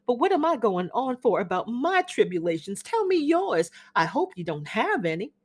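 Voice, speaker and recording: A woman reading as an older Southern mother, genteel and fussy, who chatters on in a Southern accent. Her voice climbs high and swoops wildly from note to note. She gushes without pause. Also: sing-song, slightly rough. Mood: wistful chatter. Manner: gushing, chattering, flutters up and down. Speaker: reader of a classic play. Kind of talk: dramatic reading of a play.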